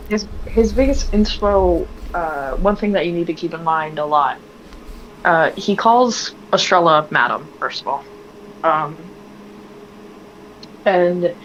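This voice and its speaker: A hoarse woman playing in a tabletop game, explaining at length with plenty of fillers. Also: on the low side, a little hiss or hum. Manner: rambling, filler-heavy explaining. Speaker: player. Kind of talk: casual tabletop game chat. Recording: dull lo-fi mic.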